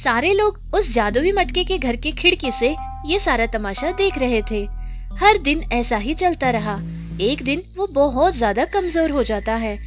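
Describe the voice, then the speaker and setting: A woman's voice: high-pitched, animated, unhurried. A woman narrator reading a scripted children's story. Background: music.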